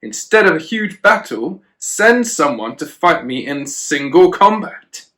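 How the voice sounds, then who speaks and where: A man's voice: a little high, sing-song, a little slow, sharp tone, heavily acted. A man voicing a huge, boastful giant warrior from a children's storybook, bellowing and taunting. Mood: boastful challenge.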